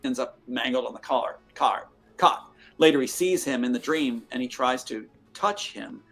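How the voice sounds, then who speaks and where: A man's voice: medium pitch, moderate pace, fairly bright tone, soft background music. A man in a played interview clip, rambling through a story and tripping over repeated words.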